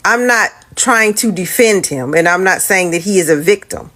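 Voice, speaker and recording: A woman's voice: fairly low pitch, animated, moderate pace, a bit bright. A woman giving argumentative commentary in an insistent, lecturing way, tagging points with "okay?".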